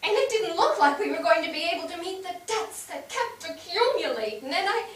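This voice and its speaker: Grieving, regretful elderly-mother role for stage drama female actor, high voice, theatrical, noisy distant recording. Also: very animated, a little fast, a bit bright, heavily acted. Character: grieving older widowed mother, ashamed and regretful. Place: theatre stage, picked up from far off. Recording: distant echoey theatre, noticeable noise.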